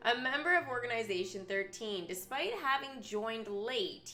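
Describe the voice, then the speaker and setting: A woman streamer reading character profiles aloud and breaking into chatty, excitable asides to her viewers. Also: medium pitch. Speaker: live streamer.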